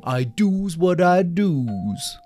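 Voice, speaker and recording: A storyteller voicing a giant dim-witted brute who talks loud, slow and gloating. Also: male voice, high-pitched, big pitch swings, soft background music, voice pushed up, full character voice.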